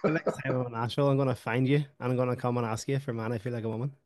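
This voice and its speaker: Quick-talking male podcast host. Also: medium pitch, steady tone, darkish tone, slight background noise. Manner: quick, friendly, talkative. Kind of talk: casual interview chat.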